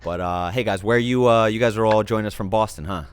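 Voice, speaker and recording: A man's voice: lowish voice, fast, slight background noise. A man hosting a casual interview, laid-back and joking with his guests. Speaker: host. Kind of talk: casual chat. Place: home, on a couch.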